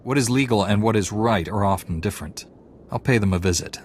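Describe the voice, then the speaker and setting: An actor playing a calm, principled, resolute heroic knight in a video game, earnest and firm, with music behind. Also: male voice, fairly low pitch, a little fast, a little hiss or hum, natural voice.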